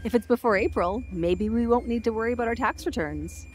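A woman's voice: fairly high pitch, a little fast, a little hiss or hum, close to an everyday voice. A woman playing a chatty radio host in an audio drama, friendly, inquisitive and a little wry. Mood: curious, wry. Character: curious, chatty female Canadian radio show host.

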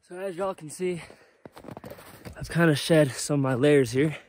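A young man in his twenties chatting upbeat to a phone camera while hiking a snowy mountain trail, a little out of breath. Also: medium pitch. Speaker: vlogger. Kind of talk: casual hiking vlog to camera. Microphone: phone held at arm's length.

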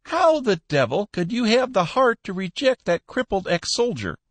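A crusty old tycoon with a shrill, piping voice pitched far above a normal man's, bossy and wheedling by turns, as a male narrator puts him on. The delivery swoops up and down, close and dry with no room sound. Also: sing-song, moderate pace, dry recording, exaggerated voice. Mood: scolding, cajoling. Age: older. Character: shrill, feisty old businessman, crusty but soft-hearted.

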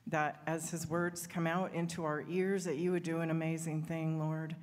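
A woman's voice: deep voice, flat delivery, moderate pace. A woman leading a heartfelt, fervent prayer from a church stage. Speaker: prayer leader. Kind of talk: spoken prayer. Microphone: handheld microphone, far camera. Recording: reverberant hall.

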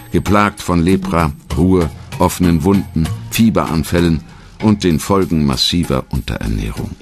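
Really deep guy doing documentary narration, measured storytelling, very expressive intonation, bit of music behind.

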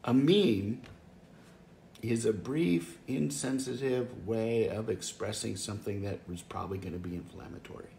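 Middle-aged male pastor, earnest and conversational, gravelly, in a reverberant room with faint hiss. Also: lowish voice, moderate pace, mellow. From Wisconsin, US. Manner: earnest, conversational, frequent restarts. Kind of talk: home devotional talk. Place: living room by a fireplace, indoors. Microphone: camera or phone close up, seated.

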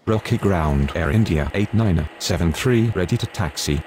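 A man plays an airline pilot talking to the tower in a video game. The voice is very deep and the scripted calls are clipped and procedural. There is faint noise behind it. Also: expressive, normal speed, darkish tone. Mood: businesslike. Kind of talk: scripted radio phraseology.

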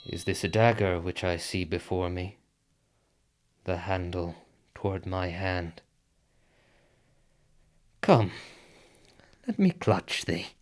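An actor playing a brooding, conflicted nobleman with deliberate, theatrical verse delivery in a Shakespearean radio drama. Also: low-pitched, animated. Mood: haunted.

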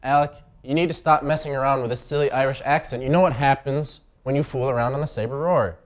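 A man's voice: medium pitch, fast talker. A teenage male student anchor reading scripted news and banter as the straight man. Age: teens. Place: small indoor school TV studio.

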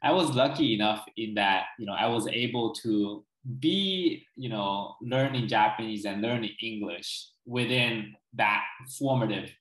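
A man's voice: on the low side, fairly bright tone. Man explaining over an echoey video call. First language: Chinese. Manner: explains at length with frequent "right?" and "you know". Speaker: guest expert. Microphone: video call, laptop camera.

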